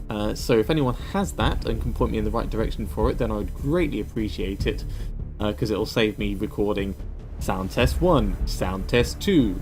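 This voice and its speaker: A man narrating a step-by-step software tutorial in an informal, explanatory way. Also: medium pitch. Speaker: tutorial presenter.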